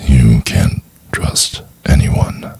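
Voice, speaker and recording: A man playing a possessive, obsessive stalker boyfriend in an intimate ASMR roleplay, speaking in a husky, hushed half-whisper with soft menace. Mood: possessive, menacing. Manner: slow, hushed, crooning insistence.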